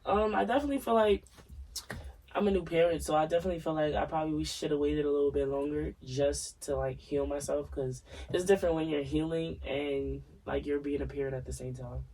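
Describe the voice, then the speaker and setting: A raspy man with a congested voice, co-hosting a casual podcast and asking probing personal questions between laughs. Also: fairly high pitch, steady tone, fairly quick, darkish tone, distant mic, poor audio. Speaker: podcast co-host.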